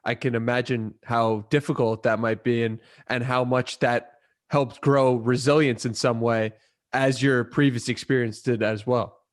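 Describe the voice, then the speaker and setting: A man's voice: medium pitch, steady tone, a little slow. A man hosting a podcast, asking attentive, encouraging interview questions. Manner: attentive, encouraging, short affirmations. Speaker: podcast host.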